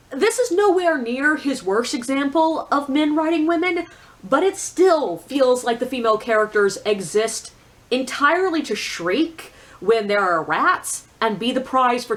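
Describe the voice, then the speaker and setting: A woman giving a casual, opinionated book review in a blunt, sardonic, conversational way with emphatic asides. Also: fairly high pitch.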